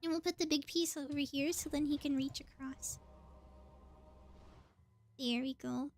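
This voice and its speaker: A woman with a high-pitched voice talks through a video game on a live stream. She is chatty and informal, thinking aloud in a casual commentary style. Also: steady tone, a little slow, faint music behind.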